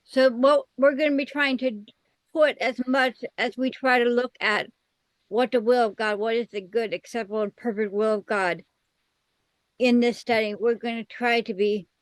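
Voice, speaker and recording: A woman leading a casual Bible study discussion, often affirming others with soft "mm-hmm"s. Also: a little high, steady tone, normal speed, dry recording, rough recording.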